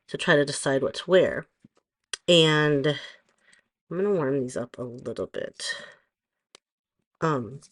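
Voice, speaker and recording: A middle-aged woman with a hoarse voice, chatting casually through a nail tutorial and rambling with frequent pauses and ums. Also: low-pitched. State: hoarse from allergies. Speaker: nail stylist vlogger.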